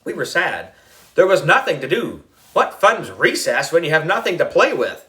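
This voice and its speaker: A man narrating a children's story in an expressive, playful style. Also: on the high side, moderate pace. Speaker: audiobook reader.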